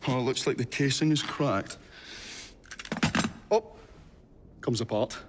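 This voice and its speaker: A man's voice: mid-range voice, slow pace, in a large hall, background noise, natural voice. A man voicing a flustered, fussy, polite Victorian inventor who stammers in a game cutscene. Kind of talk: video game cutscene acting.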